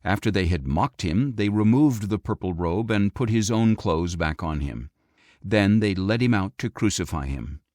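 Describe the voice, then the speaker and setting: A man reading scripture as an audiobook narrator, in a measured, expressive storytelling delivery. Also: on the low side, no room sound, clean studio sound.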